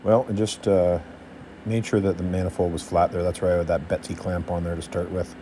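A man giving a relaxed, offhand voice-over about his welding work, with drawn-out fillers. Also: low voice, slight background noise. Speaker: welder and channel host. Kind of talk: laid-back voice-over over time-lapse.

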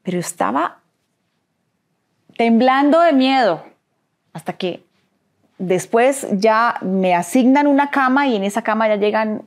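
A young adult woman from Colombia with a Colombian Spanish accent, telling her personal story as an interview guest in an earnest, halting way, repeating words and dropping to a near-whisper at heavy moments. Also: a little high, lively intonation.

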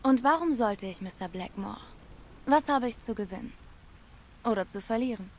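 An actress playing a poised, sharp-tongued young cat tennis star in a noir game, cool and sardonic. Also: female voice, a little high, animated, normal speed, slight background noise. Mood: defiant. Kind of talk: cinematic noir game acting.

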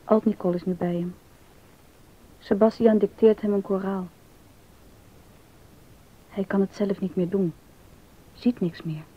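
An actress playing a composer's loving, soft-spoken wife in a docudrama, often dropping into an intimate half-whisper. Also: female voice, fairly even intonation, measured pace, pauses often, husky. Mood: grave, subdued. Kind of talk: Dutch radio docudrama acting. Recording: phone-like muffled sound with faint rumble.

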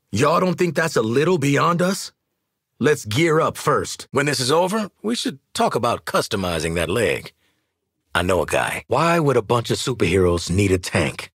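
A man with an American accent voicing a cocky, sardonic marksman assassin in a video game, streetwise and wry, with a gritty, husky voice. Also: fairly high pitch, a bit bright, no room sound, clean studio sound, heavily acted. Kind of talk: video game combat barks and banter.

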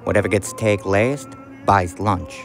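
An actor playing a laid-back mobster sidekick in a comedic crime audio drama, talking in casual slang. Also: male voice, on the low side, fairly even intonation, unhurried, vocal fry, music in the background. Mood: focused. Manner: casual, foul-mouthed banter.

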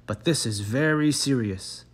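A man's voice: mid-range voice, a little slow, slightly rough. A man voicing a commanding, earnest chief frog in a children's storybook, speaking in emphatic declarations. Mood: serious.

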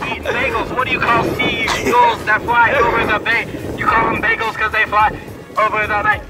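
A high-pitched teenage boy jokes around as a prank vlogger, often talking into a megaphone. He is outdoors, and loud music plays behind him. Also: level tone, moderate pace, low-quality recording. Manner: playful, jokey. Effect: often speaks through a megaphone. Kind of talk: casual joking chat, shouting to strangers. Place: outdoors on suburban streets and park. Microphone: camera mic a few steps away.

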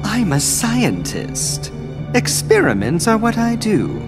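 A man's voice: high-pitched, huge pitch swings, takes it slowly, creaky, over music, exaggerated voice. An actor voicing a haughty, condescending older male scientist. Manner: condescending, precise.

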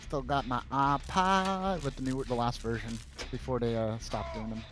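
A man's voice: medium pitch, steady tone, moderate pace, a bit bright. A playful, exclamatory man chatting casually while gaming and swearing freely.

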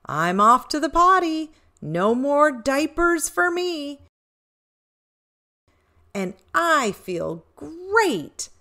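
A woman's voice: high-pitched, very animated, extremely slow, bright, forceful tone, voice pushed up, heavily acted. A woman voicing a proud, eager little toddler boy from a picture book in an exclaiming, sing-song way. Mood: excited, proud.

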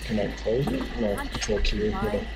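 A man's voice: on the low side, wildly swooping pitch, moderate pace, very noisy. A man giving casual, slangy live reaction commentary as a streamer. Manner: informal, slangy. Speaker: streamer reacting to a game showcase. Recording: game showcase audio playing behind. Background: video soundtrack with music.

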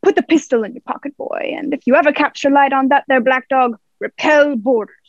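A woman's voice: high voice, brisk, no room sound, lo-fi sound. A woman playing a sly, hearty pirate ship's cook in a radio play, cajoling in salty pirate dialect. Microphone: own device, remote recording.

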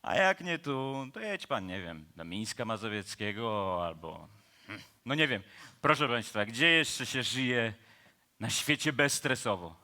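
An actor as a jovial Parisian charmer, unhurried and swooping. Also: male voice, medium pitch, very animated, pushed and bright, heavily acted. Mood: breezy advice. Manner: colloquial, teasing, chuckling, drawn-out theatrical phrasing. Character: jovial, cheeky Parisian man about town, laid-back charmer. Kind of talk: operetta radio play acting.

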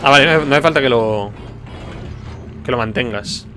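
Bright-voiced Spanish male game streamer. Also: medium pitch, moderate pace, slight background noise. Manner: muses aloud, informal. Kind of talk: casual gameplay commentary. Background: video game sound.

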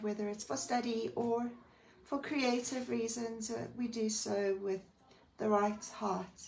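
A woman hosting a live Bible journaling stream, chatty and friendly, greeting viewers by name, a little croaky today. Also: fairly high pitch, a little mellow. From South Africa. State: slightly croaky. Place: indoors at a craft table. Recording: distant mic, faint room noise.

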